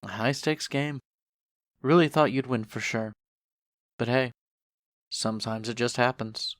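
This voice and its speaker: A woman's voice: rumbling low, a little slow, no echo, clean studio sound, voice pushed down. A woman voicing a lazy, joking skeleton brother with a casual, nonchalant drawl in a fan audio story. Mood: nonchalant, teasing. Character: laid-back, joking skeleton older brother, a lazy swindler.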